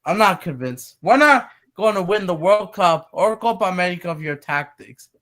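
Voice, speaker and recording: A man's voice: sits high, expressive, moderate pace, fairly bright tone. A nineteen-year-old man chatting casually as a guest, rambling and earnest, repeating himself. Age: young adult. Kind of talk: casual chat.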